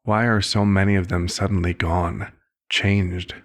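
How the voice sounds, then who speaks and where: A man playing a concerned, paternal vampire doctor in an ASMR roleplay, speaking formally and deliberately in a husky voice. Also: low-pitched, little pitch movement. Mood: probing, measured.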